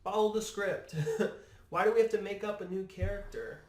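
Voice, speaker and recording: A man's voice: high-pitched, steady tone, moderate pace. A man chatting casually and giving opinions as a podcast guest, emphatic and often repeating his words for effect. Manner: emphatic, repeats words, mimics imagined remarks. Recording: roomy, echoing room.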